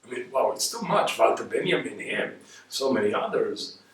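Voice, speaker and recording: A man's voice: medium pitch, animated, moderate pace, a bit bright. Echoey, distant man with an Israeli accent. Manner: emphatic, rhetorical questions, builds points by repetition. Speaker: guest speaker. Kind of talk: reflective spoken lecture on history. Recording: echoey room, distant microphone.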